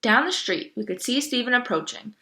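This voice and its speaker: A woman's voice: a little high, animated, brisk, sharp tone. A woman reading a children's story aloud and explaining it in casual commentary. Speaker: reader commenting on the book.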